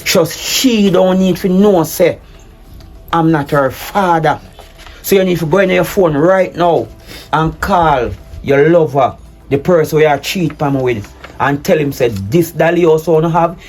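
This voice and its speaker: A man playing a hurt, betrayed husband, speaking low and measured, then forcefully. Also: breathy edge, faint music behind. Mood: stern. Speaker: husband in a domestic drama skit. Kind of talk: improvised-sounding skit acting.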